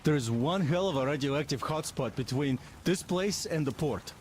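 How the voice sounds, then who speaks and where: A man's voice: on the high side, animated, moderate pace, a little hiss or hum, natural voice. An actor playing a concerned, precise male scout, reporting in an urgent, matter-of-fact way in a video game.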